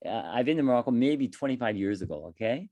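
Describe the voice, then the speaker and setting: Man in his forties, English teacher, very lively pitch, dry close sound. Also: quick pace, no echo, lo-fi sound. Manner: chatty, repeats and restarts, lively rising questions. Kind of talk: casual conversation with a student.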